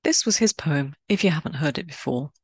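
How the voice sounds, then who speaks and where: A woman hosting a podcast, reading a scripted, explanatory talk in a measured way and lifting her pitch on key words. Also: lively intonation, a little mellow, husky, no echo. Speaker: podcast host.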